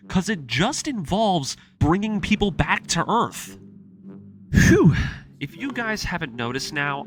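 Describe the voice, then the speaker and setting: A man's voice: on the high side, fairly bright tone. A man narrating a scripted story recap with personal commentary, in an emphatic, enthusiastic fan manner. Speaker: anime video essayist and host. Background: music.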